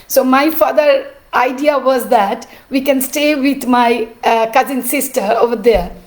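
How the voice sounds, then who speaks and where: A middle-aged woman, an artist and teacher telling her story in a cracked, rough voice, pausing often with "uh". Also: sits high. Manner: halting, with many "uh" pauses. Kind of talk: personal storytelling.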